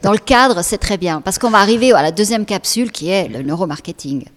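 A woman hosting an interview, asking brisk, lively questions. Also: medium pitch, slight background noise. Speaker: host.

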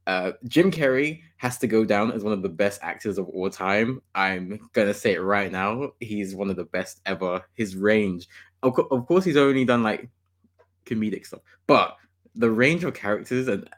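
Male podcast host, lowish voice, chatty and opinionated, laughs a lot. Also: normal speed. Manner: enthusiastic, opinionated, laughs often. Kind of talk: casual gaming podcast chat.

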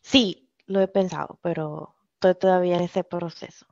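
A woman's voice: on the low side, fairly even intonation, normal speed, vocal fry, darkish tone, no echo. A Puerto Rican woman speaking as a podcast guest in casual chat, hesitant, with many filler sounds. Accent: Puerto Rican Spanish. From Puerto Rico. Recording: dull lo-fi sound.

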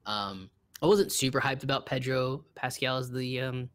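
A man co-hosting a podcast, chatting casually in a measured, low-key way as he thinks aloud. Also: fairly even intonation. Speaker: podcast co-host. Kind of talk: casual conversational film and game chat.

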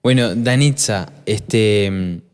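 A man's voice: on the low side, slow, clean tone. A man in his twenties with an Argentine accent, chatting casually and asking questions as a podcast co-host. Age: 20s. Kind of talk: casual chat, interviewing.

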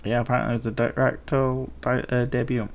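A man's voice: medium pitch, little pitch movement, quick pace, creaky, slight background noise. A young man co-hosting a casual film podcast, laid-back with dry remarks. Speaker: podcast co-host.

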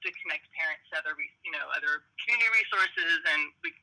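Loud, bright-voiced woman guest. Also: deep voice, fast talker, low-quality recording. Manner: naturally loud, animated talker. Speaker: parenting program guest. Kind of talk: casual interview conversation.

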